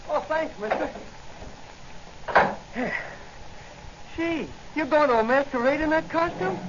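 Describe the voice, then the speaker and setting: High-voiced folksy country boy. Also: brisk. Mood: awed, friendly. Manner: folksy, naive, rustic grammar. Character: eager, folksy country boy who catches rattlesnakes. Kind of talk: 1940s radio acting. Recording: dull, noisy 1940 radio recording.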